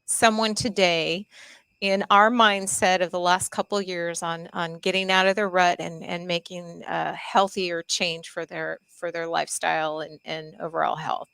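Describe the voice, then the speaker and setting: Female co-host, smooth clear voice, measured and thoughtful, dry close mic. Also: lowish voice, level tone, fairly bright tone, no room sound. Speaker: podcast co-host. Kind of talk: interview podcast.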